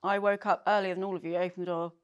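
A woman's voice: fairly low pitch, level tone, racing, clean tone. A young woman, the host's sister, chatting casually as a guest, giggling and mocking herself. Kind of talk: casual chat.

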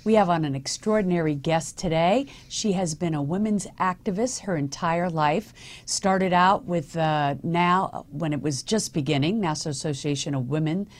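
A woman's voice: on the low side, normal speed. A woman talk show host interviewing in a chatty, encouraging way and often chiming in. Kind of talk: conversational interview.

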